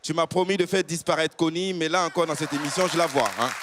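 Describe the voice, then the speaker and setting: A man's voice: fairly high pitch, fairly even intonation, fast. A man hosting a talent show live on stage, presenting in a teasing, theatrical way. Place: large stage before an audience. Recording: noisy stage with loud crowd.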